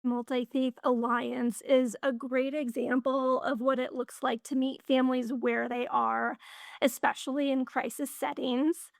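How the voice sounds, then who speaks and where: A woman's voice: high voice, level tone, measured pace, vocal fry, dry recording. A woman speaking fluently and upbeat about a partner organization's health work. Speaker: guest introducing a partner organization.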